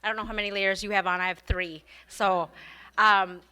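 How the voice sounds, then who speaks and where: A woman's voice: medium pitch, flat delivery, moderate pace, pushed and bright, smooth. A woman hosting a business club meeting, giving announcements and introducing the speaker in a friendly way, with many fillers. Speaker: event host.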